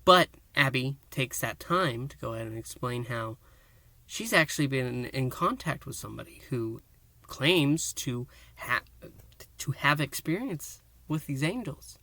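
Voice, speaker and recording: A man hosting a fan review and recap, speaking in short, halting phrases with theatrical emphasis. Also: medium pitch, pushed and bright, dry recording. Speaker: host of a fan review show. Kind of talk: review and recap to camera, semi-dramatic storytelling.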